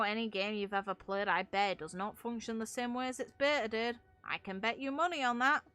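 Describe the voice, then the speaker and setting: Young adult female gaming creator, forceful and blunt, off-the-cuff commentary, dry close recording. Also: mid-range voice, no echo. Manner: blunt, swearing freely. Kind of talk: casual opinionated game commentary.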